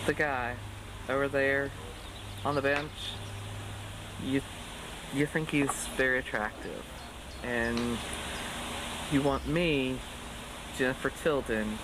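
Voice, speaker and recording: A performer playing a reluctant, skeptical woman first officer in an improvised comedy sketch, deadpan and halting. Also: medium pitch, background noise, lightly acted.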